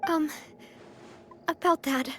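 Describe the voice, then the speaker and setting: An actress playing a poised, compassionate young gang boss in a video game, speaking sincerely. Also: female voice, sits high, steady tone, slow pace, slight background noise. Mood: sorrowful. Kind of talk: video game dialogue acting.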